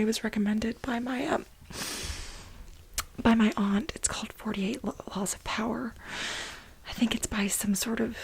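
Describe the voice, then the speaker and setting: Very breathy whisper, highish female voice, woman playing overworked assistant, aggrieved and manic, halting delivery, ASMR role play. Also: low-quality recording. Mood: tentative, confiding. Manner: halting, breathy, dramatic sighing complaint.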